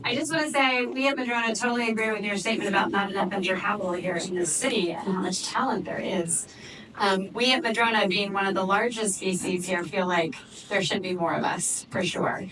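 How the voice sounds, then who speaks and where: A woman's voice: mid-range voice, brisk. Moderator: an eager woman introducing a guest, picked up from across a noisy room. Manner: enthusiastic, many ums. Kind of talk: introducing a guest at a fireside chat. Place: office event space, live audience. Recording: distant mic with background noise.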